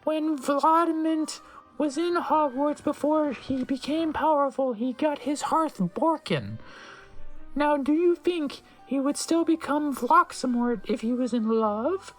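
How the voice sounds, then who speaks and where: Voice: sits high, slow, soft background music, voice pushed up, rough recording. A performer voicing a melodramatic female fortune-telling professor, urgent and mystical, in a dramatic fan fiction reading.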